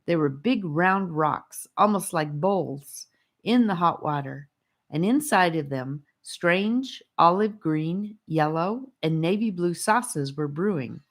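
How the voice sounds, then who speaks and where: A woman narrating a children's story audiobook in an expressive storytelling style. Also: fairly low pitch, slow.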